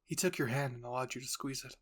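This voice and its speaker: A man narrates a scripted roleplay story in the second person. His voice sits at a middle pitch as he tells the dramatic tale straight to the listener. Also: fairly even intonation, fast talker, fairly bright tone, husky.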